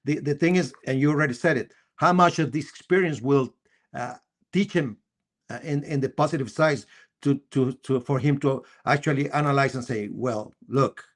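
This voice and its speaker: Halting Costa Rican man talking sports. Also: mid-range voice. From Costa Rica. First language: Spanish. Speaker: sports talk show host. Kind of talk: live sports discussion.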